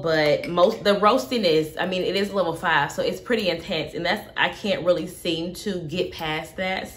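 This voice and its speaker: Young woman casually tasting coffee at home. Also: fairly low pitch. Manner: relaxed, thinking aloud with many fillers. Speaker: coffee vlogger. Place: home kitchen, indoors.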